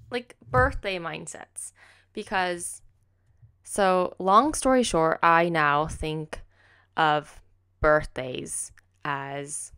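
A woman's voice: sits low, a bit bright, clear, resonant tone. A young woman, hosting a casual solo podcast at home on a close desk mic, rambling and correcting herself, saying "like" constantly. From raised in the United States. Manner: chatty, rambling, heavy use of "like", self-correcting. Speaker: podcast host. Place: home room with kitchen behind, indoors. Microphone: silver desk condenser mic, close.

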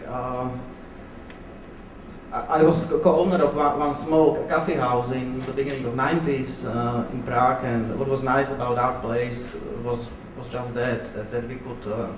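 A man from Slovakia with a Slovak accent, speaking as a panel guest, halting and repeating words as he searches. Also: mid-range voice, steady tone. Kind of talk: unscripted panel answers. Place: public event room, recorded at a distance. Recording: distant echoey hall, clearly noisy.

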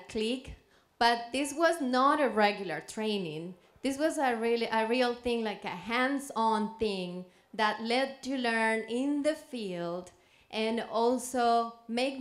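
A young woman from Colombia, speaking earnestly with fillers on a conference panel into a handheld mic. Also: mid-range voice. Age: young adult. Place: conference room with audience.

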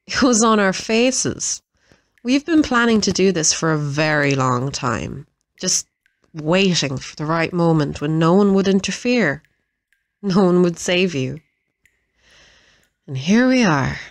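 A woman acts out a gloating, cruel villainess in an audio drama. Her voice sits a little low and is recorded very dry. She taunts smugly, stretching words for emphasis. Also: no room sound.

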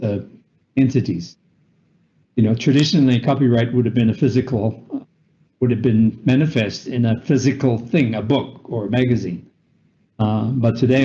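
A man's voice: medium pitch, dark tone. An older man with a North American accent presenting a webinar lecture over a video call, explaining patiently with fillers and restarts. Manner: explanatory, frequent fillers and self-corrections. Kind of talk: lecture with slides. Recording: slightly dull webinar audio.

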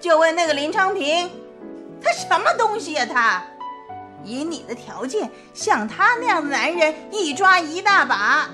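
High-voiced scolding middle-aged mother. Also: sing-song, a little slow, music in the background, exaggerated voice. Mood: exasperated. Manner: scolding, colloquial, rhetorical questions. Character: blunt, exasperated middle-aged mother, scornful and practical. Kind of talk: radio drama acting.

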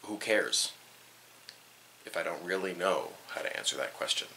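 A man's voice: low voice, lively intonation, moderate pace, vocal fry, bright, forceful tone, a little hiss or hum, low-quality recording. A young man reflecting thoughtfully on where he is from, hesitating and repeating words as he thinks, in a small room. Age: young adult. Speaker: vlogger. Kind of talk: reflective personal documentary talk. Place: small bedroom indoors.

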